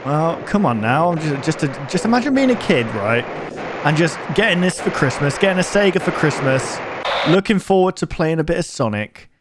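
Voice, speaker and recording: A man's voice: a little high, expressive, moderate pace, background noise. A man giving casual, rambling reaction commentary in a jokey, incredulous manner. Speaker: YouTuber reacting to horror videos.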